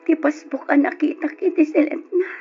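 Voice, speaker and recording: A woman's voice: high voice, fairly even intonation, moderate pace, close to an everyday voice. A woman acting a grieving young woman in an old radio drama, with a tearful, melodramatic delivery. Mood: subdued, sorrowful. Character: grieving young woman who lost both parents. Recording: dull lo-fi radio drama with music.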